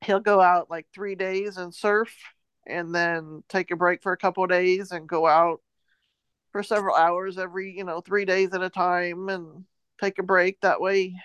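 A woman's voice: on the low side, level tone, moderate pace, fairly bright tone, smooth, no room sound. An American woman co-hosting a podcast, casually retelling news in a loose, meandering way. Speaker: podcast co-host.

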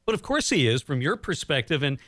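Animated, higher-voiced male interviewer. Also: brisk, dry recording, studio quality. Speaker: radio interviewer, host. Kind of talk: conversational interview questions.

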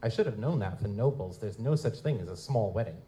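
A man's voice: on the low side, expressive, a little fast, mellow, lightly acted. A man playing a wry, streetwise goblin-like private investigator in a noir audio drama, speaking in casual slang. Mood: brooding narration. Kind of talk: live audio drama dialogue and first-person narration. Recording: noticeable background noise.

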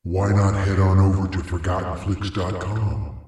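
A man's voice: low voice, little pitch movement, moderate pace, darkish tone. A man hosting a podcast, reading a scripted outro. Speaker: podcast host. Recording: very echoey, slightly distant voice.

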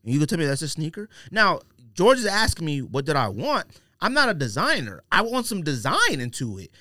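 Animated, blunt higher-voiced man on a podcast. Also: a little fast, dry recording. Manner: emphatic, blunt, slangy. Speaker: sneaker podcast host. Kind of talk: casual opinionated sports-culture debate.

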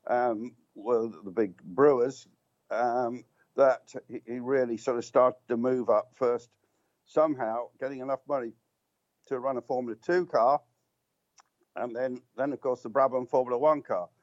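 A man's voice: mid-range voice, steady tone, normal speed, darkish tone, dry recording, muffled recording. An older man, a guest motorsport commentator, reminiscing at length in a rambling, anecdotal way with many restarts and ums. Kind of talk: reminiscing radio talk.

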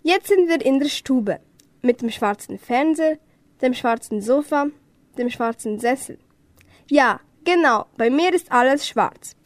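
A young woman playing a scatty, quick-tempered witch in a children's amateur radio play. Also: high-pitched, lively intonation. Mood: chatty, proud.

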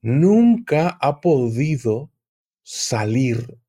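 A man, a guest on a podcast, explaining at length in a measured, hesitant way. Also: medium pitch, very animated, dark tone. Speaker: guest occult expert. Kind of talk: podcast conversation.

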